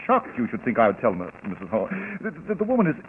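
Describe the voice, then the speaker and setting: An actor playing an earnest, somewhat flustered doctor in a 1940s radio drama, stammering at times. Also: male voice, fairly low pitch, huge pitch swings, fast. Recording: old radio broadcast, tinny and hissy.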